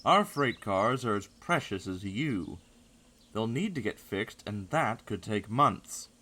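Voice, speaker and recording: A man's voice: fairly low pitch, expressive, bright, forceful tone, a little hiss or hum, full character voice. A man playing a cross, weary railway worker who admonishes others in an audio drama. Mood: scolding. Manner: slow, admonishing.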